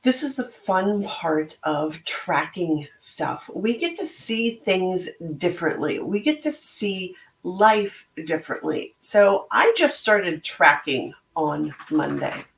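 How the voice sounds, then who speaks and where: Slow, slightly hoarse woman in an echoey room. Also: lowish voice, very animated. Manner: slow, thoughtful, motivational delivery. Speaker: podcast host and book club organizer. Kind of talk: reflective solo talk.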